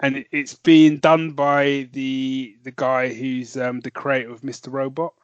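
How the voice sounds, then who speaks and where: Podcast guy, middling voice, thinks out loud and trails off, sounds a bit muffled and dry. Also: medium pitch, level tone, measured pace, clean tone, no room sound. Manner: hesitant, musing, trails off. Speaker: podcast co-host. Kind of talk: casual chat.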